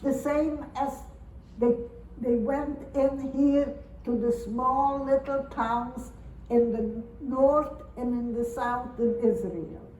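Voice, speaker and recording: A woman in her nineties, from Romania, gives testimony to an audience in a high, unhurried voice. She talks earnestly, repeating herself and restarting her sentences. The sound is roomy and distant, as if picked up from across a hall. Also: steady tone, dark tone, a little hiss or hum. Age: 90s. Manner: earnest, slow and repetitive, with halting restarts. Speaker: Holocaust survivor giving testimony. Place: synagogue or community hall. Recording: roomy hall recording from far off.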